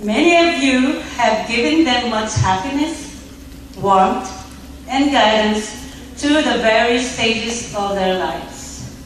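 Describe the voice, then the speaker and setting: A middle-aged woman, mother of the bride, slowly reading a welcome speech at a wedding reception, with small restarts. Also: on the high side, lively intonation, heavy reverb, distant mic, a little hiss or hum, poor audio. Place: large reception hall. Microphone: filmed from across the room on a phone.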